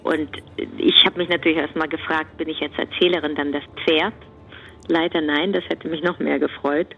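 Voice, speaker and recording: An actress talking reflectively in an interview. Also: female voice, low-pitched, fairly even intonation, moderate pace, slightly rough, highs cut off, faint music behind.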